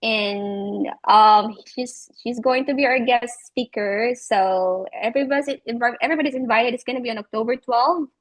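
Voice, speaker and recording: A woman's voice: fairly high pitch, moderate pace, hardly any pauses, poor audio. A woman with a Filipino accent chatting casually on a video call, rambling and repeating herself with lots of filler words. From Philippines. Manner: rambling, repetitive, full of "like" and "you know". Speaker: teacher and interview guest.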